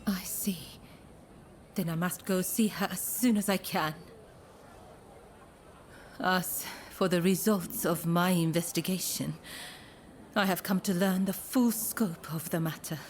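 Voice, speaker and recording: An actress playing a gentle, courteous woman of a Japanese pleasure district in a video game, speaking softly and formally in measured, breathy phrases. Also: fairly low pitch, slight background noise, lightly acted. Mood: somber, earnest. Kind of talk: scripted dramatic game dialogue. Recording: music behind the voice.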